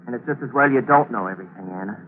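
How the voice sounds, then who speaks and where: A man's voice: medium pitch, very fast, phone-quality sound, close to an everyday voice. A man playing a cold, scheming murderer who narrates his own crime in a 1940s radio mystery, giving clipped orders and confiding asides. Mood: cold, commanding. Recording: noisy, thin 1940s radio broadcast recording.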